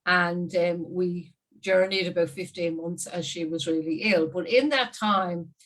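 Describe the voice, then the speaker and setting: Irish woman, 50s, interview guest, lively chatty storyteller who laughs a lot. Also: lowish voice, unhurried, low-quality recording. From Ireland. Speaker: guest marketing strategist. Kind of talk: casual interview talk.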